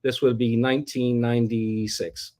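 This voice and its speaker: A man's voice: mid-range voice, level tone, moderate pace, mellow. A middle-aged man from the United States, telling his life story as an interview guest in a rambling way, with many restarts and fillers. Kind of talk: casual personal storytelling.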